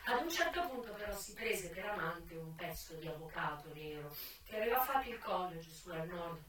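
An actress playing a proud, worldly American brothel madam, delivering a dramatic, emphatic theatre monologue with deliberate pauses. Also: female voice, on the low side, fairly bright tone, lightly acted. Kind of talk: theatrical first-person monologue. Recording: echoey stage sound, distant mic, music behind.

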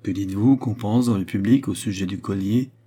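Man playing an emphatic, imperious queen, husky. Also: on the low side, brisk, dark tone. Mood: defiant. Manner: commanding, emphatic, theatrical. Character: proud, imperious queen, defiant and wounded in love. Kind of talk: dramatized historical novel reading.

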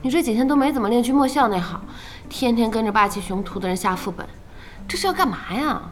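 A woman's voice: on the high side, lively intonation, fast talker, lightly acted. A woman in an audio drama playing an outspoken, impatient young gamer who is chatty and nagging. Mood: nagging, puzzled. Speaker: voice actor in a radio drama. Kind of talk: animated audio drama dialogue. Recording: faint music behind the voice.

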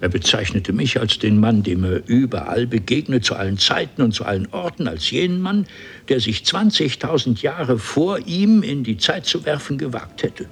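An actor playing a haughty, eloquent nobleman from an ancient civilization, telling his story theatrically and deliberately in a 1970s German radio play. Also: mid-range voice, lively intonation, gravelly, husky. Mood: indignant, agitated.

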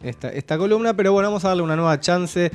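A man's voice: high voice, racing, smooth. A man with an Argentine accent hosting a comic radio show in a studio, dry, hesitant and mock-suspicious. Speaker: radio host. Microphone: studio microphone.

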